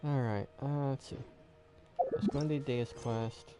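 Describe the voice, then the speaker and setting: A man's voice: medium pitch, steady tone, measured pace, dark tone, faint music behind. A man doing casual gaming stream commentary, rambling and thinking aloud. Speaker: game streamer.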